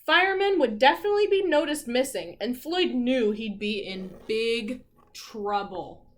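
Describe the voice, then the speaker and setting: Female primary teacher, read-aloud style. High, very lively delivery, encouraging, with questions to young listeners. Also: a little slow. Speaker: teacher reading aloud to children.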